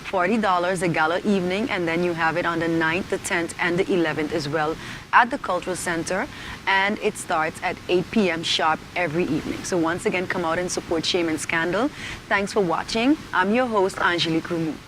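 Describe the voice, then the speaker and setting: A woman hosting a television interview in a measured, formal manner. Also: fairly low pitch. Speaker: talk show host. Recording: noticeable background noise.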